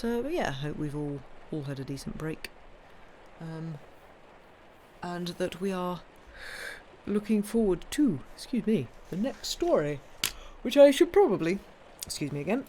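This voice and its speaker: A woman with a slightly croaky voice who hosts a live stream and reads ghost stories aloud. She chats in a rambling way and corrects herself before she settles into the reading. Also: on the low side, dark tone, slight background noise, low-quality recording. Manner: rambling, stumbling, self-correcting chatter. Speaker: storyteller and live-stream host. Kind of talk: casual chat then reading a ghost story aloud.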